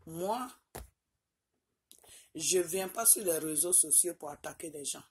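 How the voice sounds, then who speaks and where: A middle-aged woman with an Ivorian French accent speaks to her phone held at arm's length in a small room indoors. Her voice sits very low for a woman, and she drives each point home with repeated "ok?" tags. A faint hiss hangs under the recording. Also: slow. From Côte d'Ivoire. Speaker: vlogger. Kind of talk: direct-to-camera personal address. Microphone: phone camera at arm's length.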